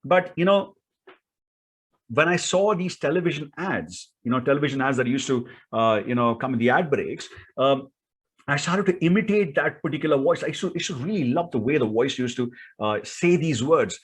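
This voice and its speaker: A man's voice: mid-range voice, lively intonation, moderate pace, slightly rough. A man with an Indian accent giving an instructional talk as a speaking coach, in an emphatic, theatrical way full of rhetorical questions.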